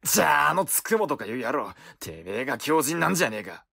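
An actor playing a gruff, hot-tempered young male werewolf in a voice drama, with rough, cocky slangy speech. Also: mid-range voice, big pitch swings, quick pace, sharp tone, exaggerated voice. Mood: gruff, suspicious. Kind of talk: Japanese voice drama acting.

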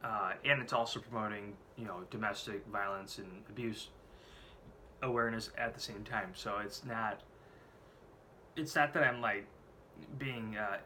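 A man's voice: fairly low pitch, a little slow, pauses often, sharp tone. A man in his late twenties with a head cold and a scratchy throat, talking candidly and rambling in a casual vlog, swearing freely, recorded at home on a desk camera. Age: late 20s. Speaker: vlogger. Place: small room at home, indoors.

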